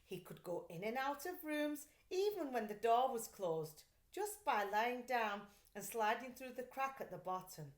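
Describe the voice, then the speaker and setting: A woman reading a children's story aloud like a teacher, in an expressive, deliberate, carefully phrased way. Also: on the high side. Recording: echoey, roomy sound.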